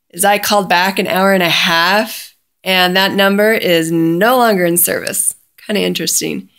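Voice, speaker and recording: A woman's voice: medium pitch, fairly bright tone. A woman casually telling a personal story in a chatty way, often quoting both sides of a conversation.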